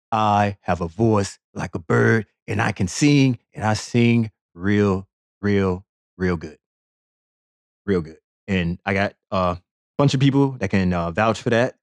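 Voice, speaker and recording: A man's voice: medium pitch, a little mellow, dry recording. A man hosting a sports podcast, telling a playful, boastful story in a rambling comedic monologue with lots of repetition.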